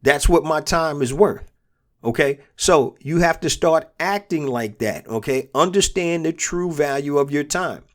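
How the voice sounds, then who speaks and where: A man's voice: on the high side, measured pace. A man with a cold, his nose stuffed and throat sore, hosting a motivational business podcast. He talks earnestly and repeats his key points for emphasis. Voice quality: congested. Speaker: podcast host and business coach.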